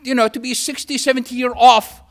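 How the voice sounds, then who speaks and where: A man from Syria with a Syrian Arabic accent and Arabic as his first language, giving a public lecture in an emphatic way with repetition and pauses for effect. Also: extremely high pitch, flat delivery, a bit bright. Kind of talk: public lecture with anecdotes and humour.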